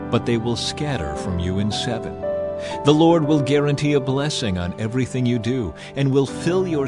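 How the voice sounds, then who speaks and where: A man voicing a solemn, commanding prophet addressing his people in a dramatized scripture reading, declamatory and deliberate. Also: medium pitch, natural voice. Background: music behind the voice.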